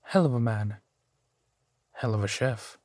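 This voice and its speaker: Guy doing a suave old vampire gentleman for rp audio, takes his time, formal and playful, very dry sound. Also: lowish voice, no room sound, lightly acted. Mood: amused, charming. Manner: unhurried, genteel, formal wording with pauses. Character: suave male vampire, four centuries old, genteel, playfully amused host. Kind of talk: intimate audio roleplay, spoken to the listener.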